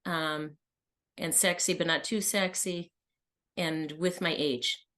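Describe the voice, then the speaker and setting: A woman's voice: deep voice, steady tone, takes it slowly, fairly bright tone. A woman in her early sixties, a coaching client talking candidly and chattily as a guest. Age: early 60s. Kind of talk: conversational personal account and question.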